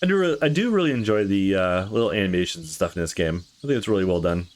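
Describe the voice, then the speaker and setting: A man's voice: deep voice, lively intonation, fast talker. A man giving casual let's play commentary on a game and reading its dialogue aloud.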